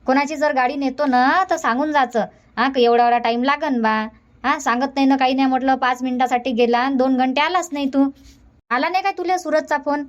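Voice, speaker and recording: Cartoon dub: woman as high-pitched scolding village aunt, Vidarbha accent, muffled lo-fi sound. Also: brisk, natural voice. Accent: Vidarbha Marathi. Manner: nagging, lecturing, long run-on scolding.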